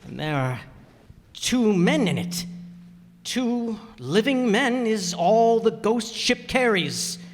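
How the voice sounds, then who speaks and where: An actor playing a superstitious, excitable old seafaring uncle in a radio play, with rustic sailor dialect and emphatic warnings. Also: male voice, high voice, slow, a little hiss or hum, full character voice. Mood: ominous. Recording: echoey hall stage recording.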